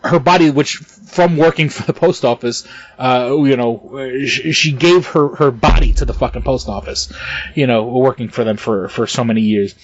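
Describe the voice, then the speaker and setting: A man's voice: mid-range voice, moderate pace, dry recording, lo-fi sound. A man co-hosting a casual podcast, talking in a heated, profane way with stumbling restarts and bursts of laughter. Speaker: podcast co-host.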